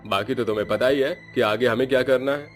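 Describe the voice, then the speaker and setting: एक आदमी कार्टून कहानी में एक लालची, निर्दयी डॉक्टर की साज़िश भरी, ठंडी आवाज़ निभा रहा है।. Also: male voice, mid-range voice, quick pace, close to an everyday voice. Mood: scheming. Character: greedy, callous male hospital doctor scheming. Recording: faint music underneath.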